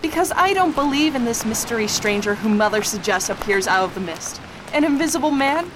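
A woman's voice: on the high side, lively intonation, brisk, noisy background, natural voice. A woman playing an impatient young woman in an amateur radio drama, speaking urgently and insistently. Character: young, impatient female daughter.

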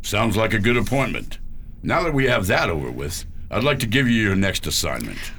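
Man playing pompous government official, low raspy male voice, animated, sci-fi radio play. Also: normal speed, heavily acted. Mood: smug, businesslike. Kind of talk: science fiction radio drama acting.

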